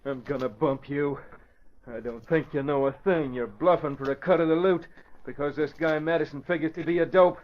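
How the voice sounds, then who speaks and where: A man's voice: on the high side, moderate pace, lightly acted. An actor playing a tough gunman, threatening and slangy, in 1940s radio style. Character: brutal, tough-talking gunman henchman. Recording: muffled old radio recording with faint noise.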